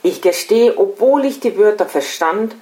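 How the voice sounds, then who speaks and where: A middle-aged woman from Estonia with an Estonian accent, a non-native speaker reading a scripted lecture in a formal, deliberate way. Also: mid-range voice, animated, warm tone. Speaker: lecturer, special-needs educator. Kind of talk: scripted lecture read aloud. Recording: echoey room sound.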